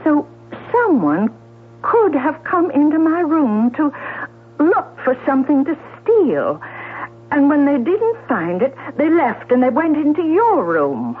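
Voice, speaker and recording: A woman's voice: high voice, very animated, takes it slowly, exaggerated voice. An actress playing a frightened, self-doubting woman in a radio drama, hesitating, stammering and repeating herself. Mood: anxious, doubting. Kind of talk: 1970s radio drama acting. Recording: noisy, telephone-like old radio broadcast, hum.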